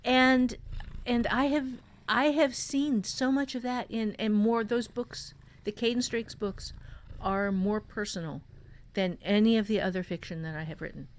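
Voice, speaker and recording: A woman's voice: fairly high pitch, fairly even intonation, a little slow. A woman hosting a casual talk on writing, giving advice earnestly and thinking aloud with emphatic repetition. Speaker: podcast host and novelist.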